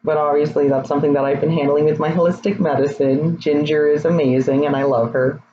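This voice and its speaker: A young man in his twenties, his voice a little raspy, talking candidly and hesitantly in a personal vlog. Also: on the high side, fairly even intonation, a little fast, warm tone. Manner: candid, rambling, hesitant with many fillers. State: slightly raspy voice. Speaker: vlogger. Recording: slightly dull, faint noise.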